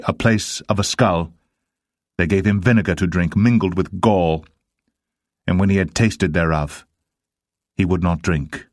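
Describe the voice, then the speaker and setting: A man narrating the Bible as a dramatised reading, in a solemn, measured manner. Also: low-pitched, dry recording, studio quality.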